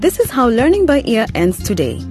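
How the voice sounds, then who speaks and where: A woman's voice: a little high, wildly swooping pitch, fairly quick. A woman presenter reading a scripted radio programme outro. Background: music.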